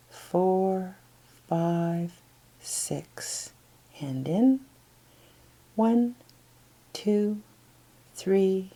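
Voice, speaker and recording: Female meditation guide: lowish, relaxed tone, gentle and breathy, extremely unhurried instruction. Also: rough recording. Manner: slow, gentle, unhurried counting and pauses.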